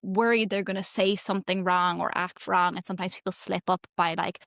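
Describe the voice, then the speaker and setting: A young woman hosts an interview and rambles through her questions, full of "like" and quick laughter. Her voice sits a little low for a woman. The recording is dry and close-miked. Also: steady tone, creaky, a bit bright, no room sound. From Ireland. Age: young adult. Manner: chatty and rambling, heavy filler "like", laughs easily. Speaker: podcast interviewer. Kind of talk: casual podcast interview.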